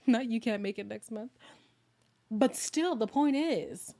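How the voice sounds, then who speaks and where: A woman from Canada hosting a casual live chat with viewers, excitable and laughing often, her voice getting a little hoarse. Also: fairly high pitch, takes it slowly.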